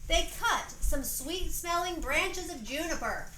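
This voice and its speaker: A woman narrating a homemade history play like a storybook, reading aloud expressively with deliberate phrasing, in a roomy home recording. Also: extremely high pitch, expressive. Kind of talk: storybook narration for an amateur play, with informal stage directions. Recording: roomy echoey home recording, faint noise.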